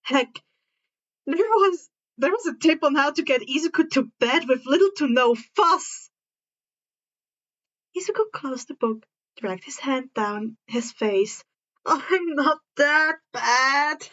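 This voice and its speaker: A woman reading fan fiction aloud as an expressive story narrator. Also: high-pitched, a little slow, dull audio.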